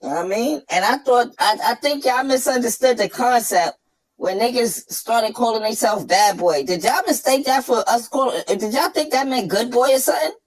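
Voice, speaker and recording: A man with a New York accent ranting and trash-talking through a pitch-shifted chipmunk voice effect, cocky and profane. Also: normal speed, rough recording. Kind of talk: brash trash-talk rant.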